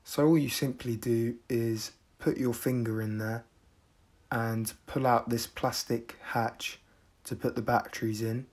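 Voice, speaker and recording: A man from the UK narrating a casual product review in a measured, deadpan manner. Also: medium pitch. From United Kingdom.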